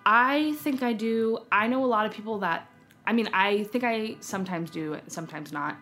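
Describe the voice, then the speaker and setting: A woman's voice: mid-range voice, moderate pace, fairly bright tone. A young American woman talking casually as a podcast guest, giggly and telling stories with acted-out quotes. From United States (San Francisco). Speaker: podcast guest, filmmaker and actor. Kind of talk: casual conversation.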